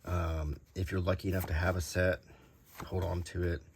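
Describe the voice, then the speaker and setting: Low-voiced man in a casual show-and-tell. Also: flat delivery, a little hiss or hum. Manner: rambling, unhurried, thinking aloud. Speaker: host showing vintage game cards.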